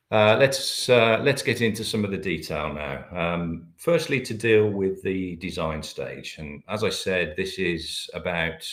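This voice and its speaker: A man's voice: sits low, echoey room. A middle-aged British man giving a business webinar presentation, talking in a hesitant way with many filler sounds. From Britain. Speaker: webinar presenter, managing director.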